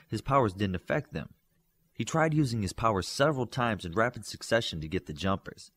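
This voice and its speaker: Male audiobook narrator, lower register, highly varied intonation, telling an adventure story. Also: fairly bright tone.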